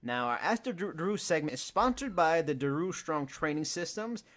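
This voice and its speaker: A man's voice: a little high, lively intonation, normal speed, fairly bright tone. A man hosting a sports and fitness podcast, upbeat and jokey, laughing often as he talks. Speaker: podcast host. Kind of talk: casual podcast chat.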